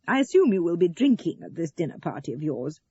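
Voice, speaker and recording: A woman's voice: lowish voice, sing-song, a little fast, warm tone, slightly rough, muffled recording, exaggerated voice. A woman voicing a righteous older mother in an audio story, prim, self-pitying and cutting.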